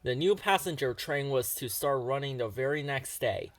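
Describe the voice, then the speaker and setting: A man narrating a children's storybook in an expressive read-aloud style. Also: mid-range voice, moderate pace, a little hiss or hum. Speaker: storybook reader.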